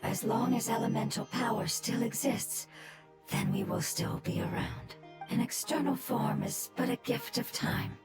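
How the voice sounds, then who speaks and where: A woman voicing a solemn, earnest, ancient nature spirit in an anime game, breathy and formal in delivery. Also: on the high side, fairly even intonation, measured pace. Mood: serene, grateful. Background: music.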